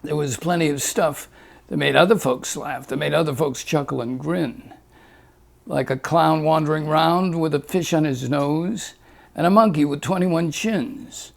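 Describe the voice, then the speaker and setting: A man reads a rhyming fable aloud with a lilting, rhythmic lilt. His pace is very unhurried and his voice has a gravelly grain. It sits a little higher than most men's. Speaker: storyteller.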